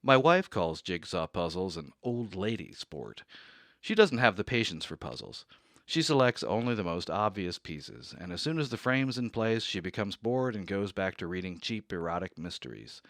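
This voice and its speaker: A man's voice: low voice, big pitch swings, no echo, full character voice. A man playing a fussy, exacting accountant. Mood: dry. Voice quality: measured, dry and exacting. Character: fussy, precise middle-aged male accountant, rigid and easily rattled. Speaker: narrator. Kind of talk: radio drama narration. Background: soft music.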